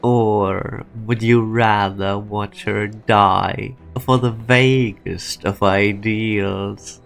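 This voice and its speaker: A man voicing a calculating, sardonic and menacing executive in a game fan dub, speaking deliberately. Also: lowish voice, steady tone, creaky, a bit bright, exaggerated voice. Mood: grim, pressing. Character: calculating male corporate executive, sardonic, menacing, pressing for truth. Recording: music behind the voice.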